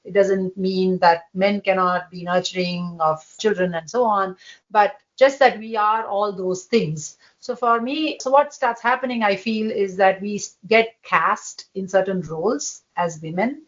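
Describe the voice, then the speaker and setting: Loud, emphatic woman guest. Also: mid-range voice, normal speed, lo-fi sound. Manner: emphatic, laughs often. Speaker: podcast guest. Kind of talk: casual conversation.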